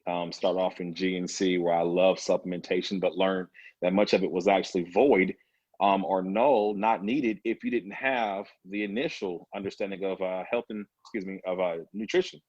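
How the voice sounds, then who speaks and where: Man, 30s, low voice, interview guest, relaxed rambling storyteller, dry dull lo-fi audio. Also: normal speed, dry recording. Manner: relaxed storytelling with many fillers. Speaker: guest, personal trainer. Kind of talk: casual interview talk.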